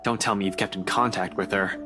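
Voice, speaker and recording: A man's voice: low-pitched, steady tone, brisk, natural voice. An actor playing a guarded young man in a visual novel, a loyal friend who talks in a dry, casual way. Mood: dry, questioning. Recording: music playing behind the voice.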